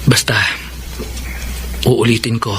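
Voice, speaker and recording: A man's voice: medium pitch, flat delivery, takes it slowly, sharp tone, slight background noise. An actor playing a tender, joking young Filipino man hiding a grave illness, in an earnest radio drama style.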